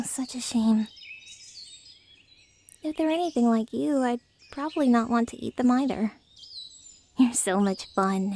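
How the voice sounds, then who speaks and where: A woman voicing a friendly, naive, excitable dragon in humanoid form, chatty and playful in a soft ASMR roleplay style. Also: fairly high pitch, a little hiss or hum. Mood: sympathetic, apologetic. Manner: chatty, playful, casually blunt about eating people.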